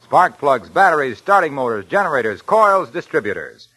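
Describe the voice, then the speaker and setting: A man's voice: a little high, big pitch swings, moderate pace, sharp tone, rough recording. An American man announcing in scripted 1940s radio style, with a polished, emphatic sponsor's delivery. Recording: vintage 1940s radio broadcast.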